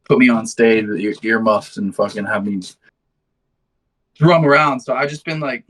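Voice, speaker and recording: Low-voiced young man, slangy casual talker. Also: moderate pace, a bit bright, rough recording. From London, England, then United States from high school. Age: 20s. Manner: profane, slangy, laid-back storytelling. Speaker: band musician being interviewed. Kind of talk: casual interview chat.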